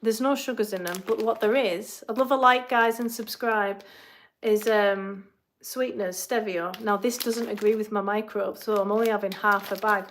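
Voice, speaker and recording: A woman's voice: mid-range voice, fairly even intonation, normal speed, hardly any pauses, slight background noise. A woman with an English accent, a fitness coach chatting casually through a live question-and-answer stream from her home kitchen. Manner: chatty, rambling, asides while cooking.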